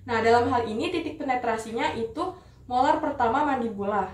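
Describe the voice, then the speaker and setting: High-voiced young woman in an echoey room. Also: fairly quick, darkish tone. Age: young adult. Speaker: dental student operator giving a skills demonstration. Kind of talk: rehearsed instructional demonstration with patient role-play. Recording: echoey room, distant mic, faint noise.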